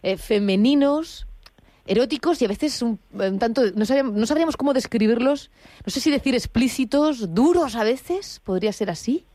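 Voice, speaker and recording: Teasing female radio host, lively chat. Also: on the high side, normal speed, dry recording, studio quality. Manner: playful, teasing.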